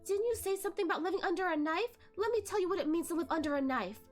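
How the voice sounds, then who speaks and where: A woman plays a male ghost courtesan full of bitterness, pitched very high and pushed hard with drama. He mocks and accuses in a hateful, storybook-villain manner, over faint music. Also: lively intonation, racing. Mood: mocking, furious. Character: bitter, vengeful androgynous male ghost, a former brothel courtesan.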